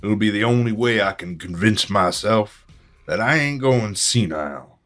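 A proud old country man, acted by a man in an audio drama, speaks in a low voice. He is folksy, earnest and a little shaken, with faint music behind him. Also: animated, moderate pace, fairly bright tone. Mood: uneasy, candid. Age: older. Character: proud, rattled old small-town man, plainspoken eyewitness.

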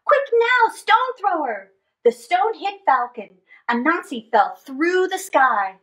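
A middle-aged woman hosting a children's story time, reading and chatting in an animated, theatrical way. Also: very high-pitched, slow. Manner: animated, theatrical, with dramatic gasps and stretched words. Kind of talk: expressive picture-book read-aloud and chat to children. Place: small indoor space with draped fabric backdrop.

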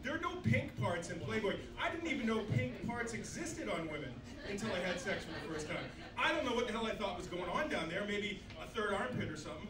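A man's voice: high voice, animated, a little fast, hardly any pauses, over a noisy crowd. A man in his sixties doing a crude, self-deprecating stand-up set on a small club stage, holding a mic. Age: 60s. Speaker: stand-up comedian. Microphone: handheld mic, filmed from far back. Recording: echoey club, distant camera, noisy.

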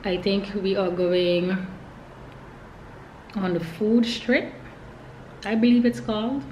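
A woman's voice: mid-range voice, slow pace, a little mellow, reverberant. Young woman chatting casually, noisy background. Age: young adult. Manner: informal, addressing viewers as y'all. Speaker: vlogger. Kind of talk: casual vlog chat to camera.

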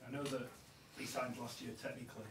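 A man, a reporter asking quick questions at a press conference, heard off-mic. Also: medium pitch, level tone, roomy sound, distant mic. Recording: off-mic, with faint noise.

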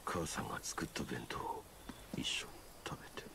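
A man's voice: very deep voice, moderate pace, vocal fry, whispered, exaggerated voice. An actor playing a stoic, brooding young man who speaks tersely and with restraint. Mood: subdued. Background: game score music.

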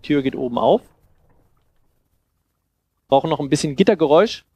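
A man in his thirties giving an informal demonstration talk into a headset mic, explaining haltingly and thinking aloud. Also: fairly high pitch, lively intonation. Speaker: conference speaker on sound design. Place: seated on a sofa in a festival room. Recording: clear background noise and ambience.

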